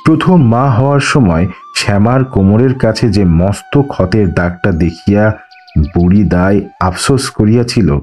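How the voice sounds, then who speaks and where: A man narrating a literary audiobook in a measured, formal storytelling delivery. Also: fairly low pitch, animated, a little mellow. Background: music.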